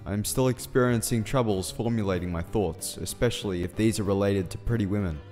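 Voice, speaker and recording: A man's voice: on the low side, fairly quick, darkish tone, faint music behind, lightly acted. A man playing an awkward, earnest scientist in a fan radio drama, speaking in a formal, wordy, bashful way. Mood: flustered, bashful. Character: awkward, earnest male scientist, shyly smitten. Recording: long hall reverb.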